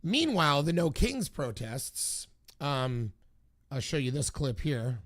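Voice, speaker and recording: A man with a hoarse voice hosting a casual political commentary video, talking in an indignant, emphatic and repetitive way. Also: fairly high pitch, very animated, takes it slowly, a bit bright. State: hoarse from singing.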